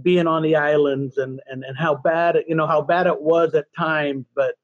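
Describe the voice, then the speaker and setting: A man's voice: fairly high pitch, steady tone, moderate pace, no echo, highs cut off. A man in his sixties, a podcast guest, chatting casually and telling family stories with lots of restarts. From California, USA. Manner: chatty, self-deprecating, many restarts. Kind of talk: casual interview chat.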